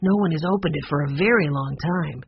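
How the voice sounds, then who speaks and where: An earnest, reasonable older boy from a children's mystery , read by an audiobook narrator. The voice is medium in pitch and a little husky, recorded dry with slightly dulled sound. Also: brisk, no echo, lo-fi sound.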